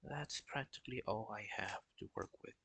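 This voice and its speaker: A young man talking casually on a live stream, in a flat, halting way with many restarts. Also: fairly low pitch, vocal fry, a little hiss or hum. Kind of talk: casual solo stream talk.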